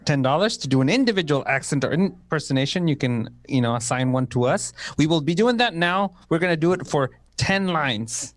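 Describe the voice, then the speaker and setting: A man's voice: on the high side, expressive, brisk, few pauses, no room sound, clean studio sound. A man hosting a live radio play show, chatting casually and explaining how things work.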